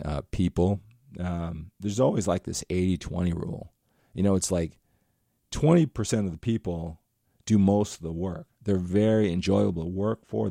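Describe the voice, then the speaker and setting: A middle-aged man with a very low, gravelly voice talks straight to his viewers. His tone is dark and mellow, and he rambles earnestly through a motivational monologue. The sound is dry and close, with no room echo. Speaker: motivational vlogger.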